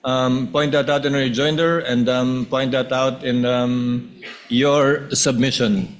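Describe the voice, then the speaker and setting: A man's voice: mid-range voice, little pitch movement, measured pace, slight background noise. A man presiding over a trial, giving procedural orders with many hesitant ums. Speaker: presiding officer. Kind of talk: formal court procedure.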